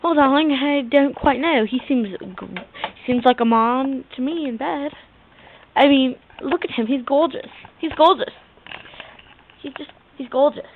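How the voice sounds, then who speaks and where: Through tinny audio with faint noise comes a vain British diva, acted by a woman. The voice is high and haughty, with theatrical, catty delivery in a homemade comedy debate. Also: a little slow. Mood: smug, gushing. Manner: haughty, theatrical, calls everyone darling. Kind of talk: amateur comedy debate skit.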